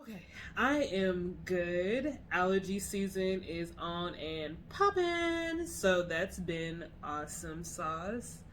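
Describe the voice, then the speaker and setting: Slow, dry-joking woman podcaster. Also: fairly low pitch, animated, slight background noise. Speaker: podcast co-host; mother. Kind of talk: casual chat.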